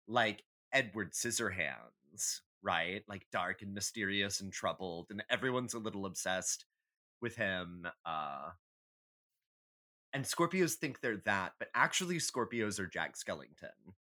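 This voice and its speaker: A man's voice: fairly low pitch, lively intonation, measured pace, pushed and bright. A man co-hosting a podcast, chatting casually and comically in a sassy, opinionated way with plenty of swearing. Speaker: podcast co-host. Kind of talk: casual comedic astrology chat.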